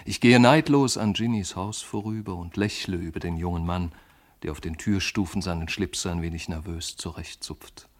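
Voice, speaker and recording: Aging man, deep register, detached, at peace, measured, reflective, poetic radio play monologue. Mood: serene, reflective.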